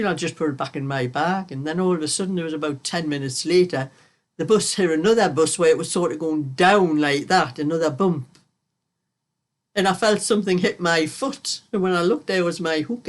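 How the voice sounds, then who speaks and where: Older woman, vlog host, croaky with a cold, rambling and chatty. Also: sits low, brisk. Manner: rambling, chatty storyteller who laughs often. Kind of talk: casual chat while knitting.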